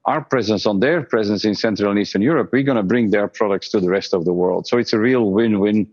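Low-voiced executive guest on dull audio. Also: male voice, darkish tone, slightly rough. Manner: measured, with frequent restarts and repeated words. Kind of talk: interview answers.

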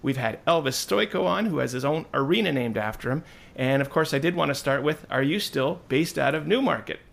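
A man's voice: a little high, expressive, brisk, creaky, a bit bright. A man hosting a podcast interview, asking friendly prepared questions. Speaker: podcast host. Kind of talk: interview host.

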